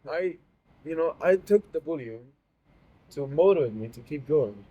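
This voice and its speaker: Man, eighteen, from Iceland, slightly high pitch, brief plain answers. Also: lively intonation, normal speed, muffled recording. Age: teens. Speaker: interview guest.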